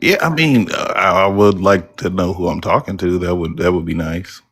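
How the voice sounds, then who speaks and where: A man with a fairly low voice helps host a live call-in debate stream. He talks in an insistent, needling way, repeating his words over and over to press the caller. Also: normal speed, a bit bright, breathy edge.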